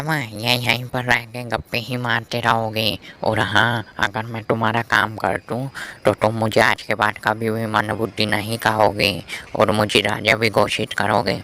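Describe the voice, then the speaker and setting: A man's voice: on the low side, creaky, pushed and bright, heavily acted. A man voicing an ambitious, cocky young lion who talks in a colloquial, boastful way in a cartoon fable. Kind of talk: Hindi animated moral story dialogue. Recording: faint background noise.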